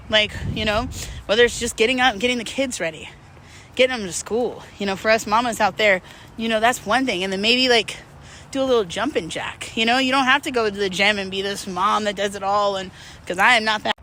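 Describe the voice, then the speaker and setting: A woman talking candidly and casually to her followers while out walking, rambling and self-deprecating as she gives motivational encouragement. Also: mid-range voice. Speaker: vlogger. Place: outdoors, on a walk. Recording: outdoor background noise, urban traffic ambience.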